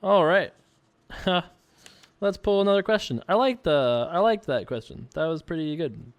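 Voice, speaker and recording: A man's voice: on the high side, lively intonation, moderate pace, lo-fi sound. A man with an American accent in casual, rambling chat, hosting a poker show and answering viewer questions in a conversational way. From Long Island, New York, United States. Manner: rambling, conversational, frequent 'you know'.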